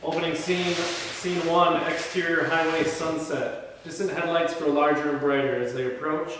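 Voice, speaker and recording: A man's voice: a little high, fairly even intonation, normal speed. A man reading screenplay scene headings and stage directions aloud as the narrator of a read-through. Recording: echoey hall from far off, faint noise.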